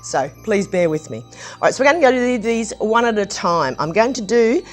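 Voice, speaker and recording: A woman with a slightly croaky voice, chatting casually through a hands-on review of a building set, with plenty of ums and restarts. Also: mid-range voice, animated, normal speed, soft background music. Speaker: brick set reviewer, channel host.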